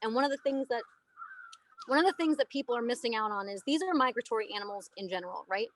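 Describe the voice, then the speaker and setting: A woman's voice: on the high side, fast, slight background noise. A woman in her thirties, an elephant trainer, talking casually and emphatically in a podcast interview. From United States. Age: 30s. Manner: animated, emphatic storytelling. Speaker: elephant trainer and aerialist guest.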